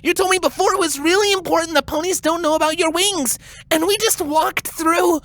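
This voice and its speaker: A woman's voice: extremely high pitch, fairly bright tone. A woman voicing an anxious, protective young male dragon who halts and stammers, in a fan fiction reading. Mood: panicked. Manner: halting, stammering.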